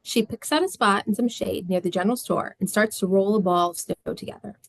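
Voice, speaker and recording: A woman's voice: medium pitch, brisk, vocal fry, no room sound. Woman narrating a script, dry sound. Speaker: stage-direction narrator. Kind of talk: scripted narration at a table read.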